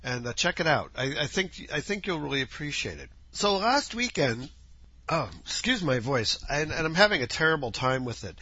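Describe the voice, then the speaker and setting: A man with a husky, scratchy voice, chatting alone into a home mic, lively in pitch with a bright, forceful tone. He rambles, corrects himself and drifts between topics, recorded dull and lo-fi. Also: normal speed. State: scratchy voice from dust. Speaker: podcast host. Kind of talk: casual solo podcast chat. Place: home, outdoor noises faintly heard.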